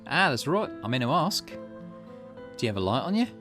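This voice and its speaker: A man's voice: medium pitch, big pitch swings, moderate pace, music in the background, voice pushed up, natural voice. A man putting on a teasing, lilting shady stranger. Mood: breezy, secretive. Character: cagey, theatrical, shady male stranger loitering at night.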